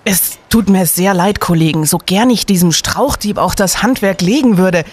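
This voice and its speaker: A man's voice: high voice, moderate pace. A man playing a keen young student detective in an audio drama, precise and wordy. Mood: earnest. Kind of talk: German audio drama acting.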